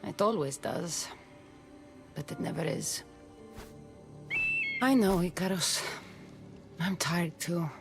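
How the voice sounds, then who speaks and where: A woman's voice: fairly low pitch, takes it slowly, vocal fry, long pauses, over music. An actress playing a weary, wry and compassionate warrior woman in a video game. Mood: weary, disillusioned. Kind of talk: dramatic video game acting.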